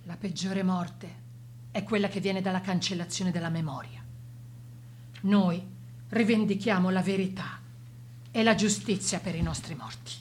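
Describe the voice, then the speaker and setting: A woman's voice: fairly low pitch, a little mellow, close to an everyday voice. Actress as solemn grieving woman, humming noise behind. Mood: grave, mournful. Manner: solemn, measured, rhetorical. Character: grieving, defiant woman of a Sicilian victim's family. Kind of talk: radio drama monologue.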